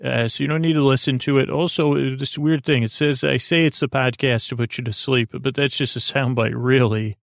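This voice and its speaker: A man hosting a sleep podcast in a creaky, soothing voice, rambling gently and haltingly with lots of filler words and tangents. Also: mid-range voice, steady tone, dry recording, clean studio sound. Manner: lulling, gentle, reassuring. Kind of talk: rambling soothing bedtime monologue.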